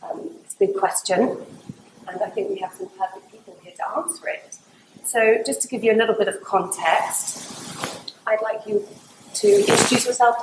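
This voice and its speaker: A woman's voice: mid-range voice, roomy sound, background noise. A woman hosting and moderating a live panel discussion, introducing guests and giving brief upbeat affirmations. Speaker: host, moderator.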